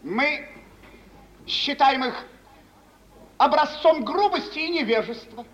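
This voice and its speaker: An actor playing a touchy, pompous, jealous fiancé in an old Russian radio drama, speaking in a stiff, prickly, affronted way. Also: male voice, very high-pitched, expressive, takes it slowly, fairly bright tone, exaggerated voice. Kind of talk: mid-20th-century Russian radio theatre acting.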